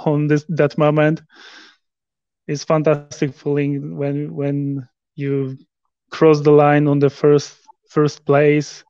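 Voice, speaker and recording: A man in his thirties from Poland with a Polish accent, speaking halting English with many restarts in a video call interview. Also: on the high side, a little mellow, no room sound, muffled recording. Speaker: interview guest, rower. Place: living room at home.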